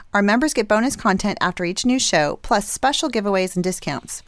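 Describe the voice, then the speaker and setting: A woman's voice: medium pitch, no room sound, clean studio sound. A woman hosting a podcast, moving between scripted intros and casual chat. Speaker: podcast host.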